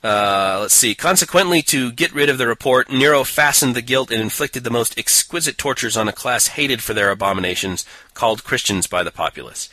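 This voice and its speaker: A man's voice: medium pitch, a little fast, hardly any pauses, sharp tone, dry recording, poor audio. A man co-hosting a casual podcast, picking apart a book with a sarcastic, mocking manner and frequent laughter. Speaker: podcast co-host. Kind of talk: casual chat reviewing a book.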